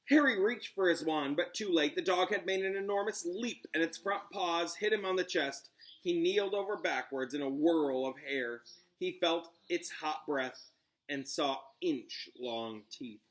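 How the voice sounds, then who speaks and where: Man reading a story aloud dramatically. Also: fairly high pitch. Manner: dramatic, with pauses on key words. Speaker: teacher reading aloud to students. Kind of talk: expressive storybook read-aloud.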